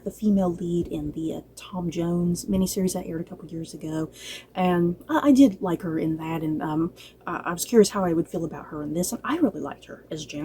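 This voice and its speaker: A woman's voice: on the low side, warm tone, slight background noise. A woman giving a casual, gushing review as a vlogger, talking directly to viewers in an informal, playfully exasperated way. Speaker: book and drama review vlogger. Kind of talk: casual review chat to camera.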